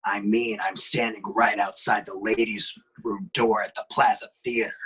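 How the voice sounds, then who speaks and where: Man putting on a breathy, husky phone killer. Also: fairly low pitch, little pitch movement, fairly bright tone. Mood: menacing, gloating. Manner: slow, breathy, teasing delivery with whispered threats. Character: menacing, taunting masked killer on the phone.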